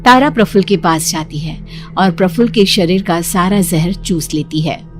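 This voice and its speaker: A woman's voice: fairly low pitch, normal speed, soft background music. A woman reading scripted folk-tale narration as a storyteller.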